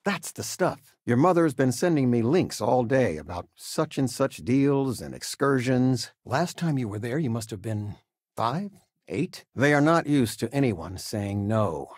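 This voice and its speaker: Retired teacher dad for a game: older man, lively intonation, husky, fond and gently joking, dry close sound. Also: mid-range voice, a little slow, no echo. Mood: fond, easygoing. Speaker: voice actor. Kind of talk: video game character dialogue.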